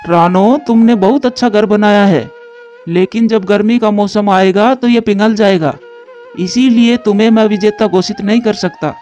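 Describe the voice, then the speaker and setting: A male actor as a calm, measured bird in a children's cartoon. His voice sits high for a man, and he explains things evenly over faint music. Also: close to an everyday voice. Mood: stately, measured. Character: calm male crow husband and stately eagle king. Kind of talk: Hindi children's cartoon dialogue. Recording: music behind the voice.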